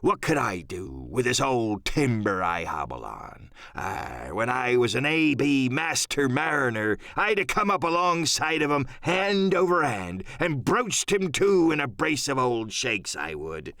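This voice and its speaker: Cunning old pirate, wildly swooping voice. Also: male voice, mid-range voice, very animated, measured pace, vocal fry, fairly bright tone, no echo, studio quality, full character voice. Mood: earnest, aggrieved. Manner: folksy, salty seafaring slang, exclamatory. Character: cunning hearty old pirate sea cook, male. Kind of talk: audiobook radio-play character acting.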